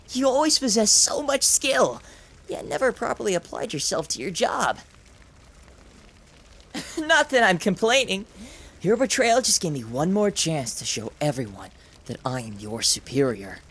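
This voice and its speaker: A woman's voice: mid-range voice, big pitch swings, unhurried, a bit bright, husky, exaggerated voice. A woman voicing a taunting, sadistic male samurai villain in a visual novel, with theatrical, sneering delivery. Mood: sneering, gloating.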